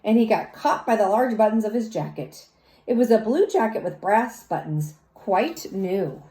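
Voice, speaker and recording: A woman reads a children's story aloud in an expressive, teacherly way, speaking as if to young listeners. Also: mid-range voice. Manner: teacherly, pauses to question and comment. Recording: roomy, echoey sound.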